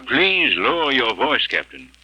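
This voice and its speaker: An actor playing a cautious, measured ambassador in an old radio drama. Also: male voice, medium pitch, huge pitch swings, pushed and bright, a little hiss or hum, exaggerated voice, rough recording. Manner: measured, official. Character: cautious older American ambassador. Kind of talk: 1940s radio acting.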